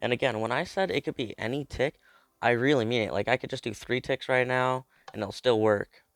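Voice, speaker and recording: A man's voice: medium pitch, brisk, no room sound. Young man with a cold, casual tutorial. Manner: chatty, informal, explains step by step. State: has a cold. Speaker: video creator explaining a game build.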